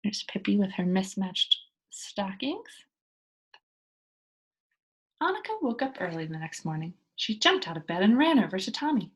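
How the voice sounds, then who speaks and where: A woman reading a children's storybook aloud in an expressive narrating style. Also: mid-range voice, normal speed, pauses often, slightly rough, rough recording. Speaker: storybook reader.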